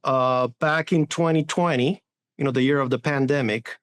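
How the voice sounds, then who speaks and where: A man's voice: medium pitch, fairly even intonation, moderate pace. A man in his forties from Honduras, answering interview questions in an earnest way, often restarting and adding "right?". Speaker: sustainability executive guest.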